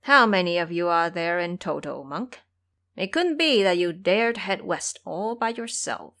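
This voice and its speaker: An old monster king of an audio drama, played by a woman, speaks with commanding menace and gloats over captives. The delivery swings widely in pitch, boastful and imperious. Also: lively intonation, measured pace, pushed and bright, heavily acted.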